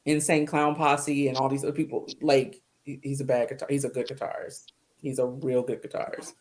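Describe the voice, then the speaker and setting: A woman's voice: extremely low pitch, flat delivery, a little fast, a little mellow. Chatty, laughing woman singer-songwriter. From Ohio, US. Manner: chatty, laughs easily, uses Southern "y'all". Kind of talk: casual chat on a call-in show.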